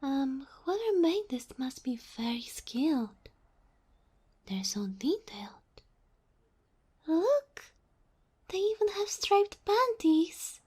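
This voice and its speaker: A woman voicing a sweet, curious young kitsune fox girl in a soft ASMR roleplay, breathy and often near a whisper, speaking closely to the listener with naive, hesitant wonder. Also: high-pitched, big pitch swings, slow, long pauses, exaggerated voice. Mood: curious, delighted.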